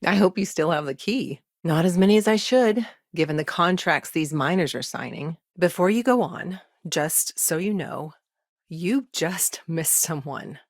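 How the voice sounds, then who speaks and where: A principled, tired lawyer from a video game. Her voice sits on the low side and is recorded close and dry. She talks in a measured, articulate way, formal but with a wry, understated wit. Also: expressive, no room sound. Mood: wry, businesslike. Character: exhausted, dry-witted woman lawyer, earnest and principled. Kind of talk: naturalistic video game dialogue acting.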